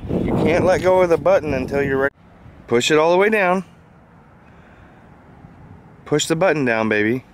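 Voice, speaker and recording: A man's voice: a little high, animated, normal speed, pauses often, a little hiss or hum. A man from Southern Illinois, a father filming a home video, explaining a toy fishing pole and coaching his child step by step in a casual, patient way.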